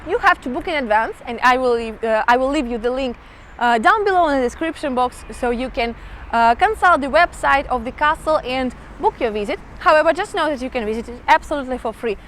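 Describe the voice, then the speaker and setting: Chatty high-voiced woman vlogging outdoors. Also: animated, brisk, a little hiss or hum. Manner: chatty, enthusiastic, addresses viewers as guys. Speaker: travel vlogger. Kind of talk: casual travel vlog to camera. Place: outdoors in a small town.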